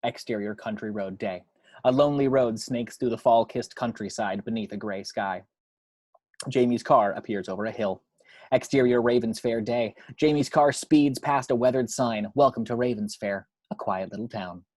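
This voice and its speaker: A man's voice: medium pitch, normal speed, darkish tone, no echo. A man reading screenplay action and scene headings as narrator for a table read at home. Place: at home, dry close recording.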